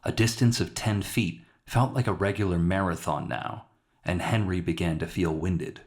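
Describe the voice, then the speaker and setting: A man's voice: low voice, fairly even intonation, normal speed. A man reading scripted fiction as the narrator of his own short story.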